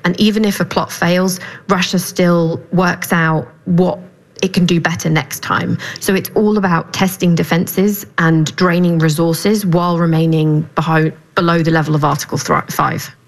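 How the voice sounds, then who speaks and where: A woman with a fairly low voice speaks as a correspondent being interviewed. She explains and recounts her reporting in a spoken analytical style. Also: steady tone, normal speed, rough recording. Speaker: news correspondent, guest.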